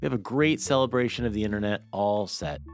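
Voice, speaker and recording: A man's voice: lowish voice, quick pace, faint music behind. A man hosting a podcast, presenting and asking questions in a conversational studio style. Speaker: podcast host and interviewer. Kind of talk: podcast introduction and casual interviewing.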